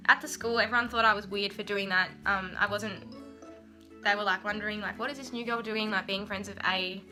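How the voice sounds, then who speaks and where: A woman's voice: mid-range voice, fairly even intonation, brisk, over music. A young Australian woman, a university student, narrating for a class video in an earnest, chatty way.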